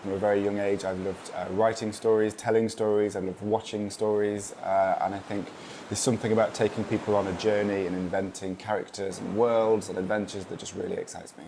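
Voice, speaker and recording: A man's voice: lowish voice, level tone, a little fast, warm tone, slight background noise. A man speaking as a playwright in a promotional interview. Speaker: playwright, screenwriter. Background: faint ambience.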